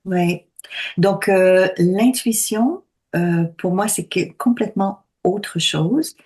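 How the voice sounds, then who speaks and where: A woman in her sixties who works as a medium, explaining calmly and deliberately in conversational talk. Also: mid-range voice. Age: 60s.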